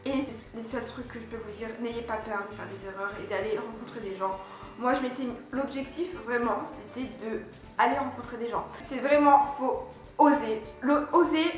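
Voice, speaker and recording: A young woman from France, chatting casually to her viewers about a year abroad, with lots of fillers and restarts. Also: a little high. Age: young adult. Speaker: vlogger. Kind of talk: casual vlog recap. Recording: very echoey room with faint music.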